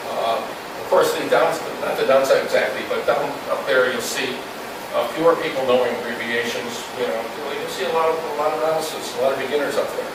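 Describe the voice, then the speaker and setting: Man presenting in a very echoey room. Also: mid-range voice. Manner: reassuring, rambling, folksy. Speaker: presenter at an amateur radio club. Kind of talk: informal instructional presentation. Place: indoor meeting room with audience. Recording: very echoey, noisy room, distant mic.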